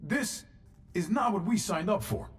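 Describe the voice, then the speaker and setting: An actor playing a wry, reluctant outlaw pilot with a sardonic, skeptical manner in a cinematic video game. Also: male voice, high-pitched, expressive, measured pace, breathy edge, heavily acted. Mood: wary.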